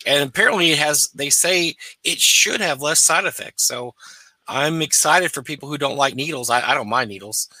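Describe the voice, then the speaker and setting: A hoarse man whose voice is wearing out chats cheerfully on a podcast. His tone is bright and sharp and swings up and down a lot, and the sound is dry and close. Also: a little high, expressive, moderate pace, no room sound, low-quality recording. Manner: chatty, laughs easily. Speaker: podcast co-host. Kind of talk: casual chat.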